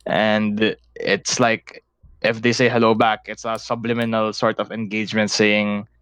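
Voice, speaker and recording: A young man with a Filipino accent holds forth on a casual podcast, drifting from point to point, turning analytical and swearing freely. His voice sits a little low and sounds smooth and clear. The sound is dry and close, with no room in it. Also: flat delivery, a little slow, a bit bright, dry recording. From Philippines. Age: young adult. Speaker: podcast co-host.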